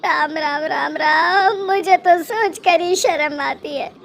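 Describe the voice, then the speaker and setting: A very high-pitched, whining mother-in-law from a cartoon tale, acted out by a woman. She is dramatic and full of self-pity, scheming behind a show of innocence. There is faint noise behind the voice. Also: takes it slowly, creaky, heavily acted. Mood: wailing, scandalized. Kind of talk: Hindi cartoon moral story acting.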